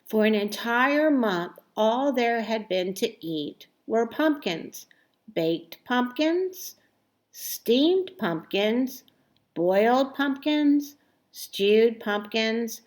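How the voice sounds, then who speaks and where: A woman reading a children's story aloud expressively, with deliberate phrasing and emphatic stressed words. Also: a little high. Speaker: storybook reader.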